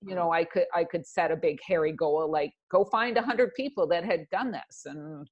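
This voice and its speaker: A woman in her fifties with a slightly low voice answers as an interview guest. She talks conversationally and reflectively, setting out her points in earnest, emphatic phrases. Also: moderate pace, dull audio. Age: 50s.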